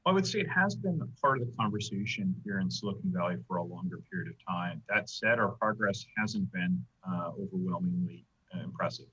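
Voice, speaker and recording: Very deep husky male investor voice. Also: animated, sharp tone, dull audio. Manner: deliberate, pausing with "uh". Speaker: panelist, investor. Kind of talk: panel discussion.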